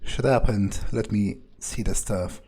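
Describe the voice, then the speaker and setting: A man role-playing a sardonic male vampire who thinks aloud in a halting, musing way during a game playthrough. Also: lowish voice, dark tone, a little hiss or hum, close to an everyday voice. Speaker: gamer role-playing a character. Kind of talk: in-character improvised game playthrough monologue.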